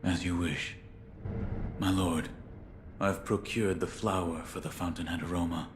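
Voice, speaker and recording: An actor playing a stoic, loyal shinobi in a video game, terse, grave and deferential. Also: male voice, sits low, steady tone, measured pace, background noise. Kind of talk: restrained video game acting.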